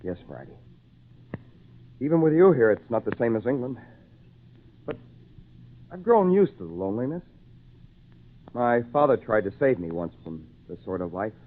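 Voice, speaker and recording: A man's voice: mid-range voice, sing-song. Lonely fatherly english castaway, very up and down delivery, theatrical, tinny old radio drama recording. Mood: wistful, kindly. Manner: theatrical, deliberate, patient phrasing. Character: lonely English castaway man, kindly, paternal, wistful.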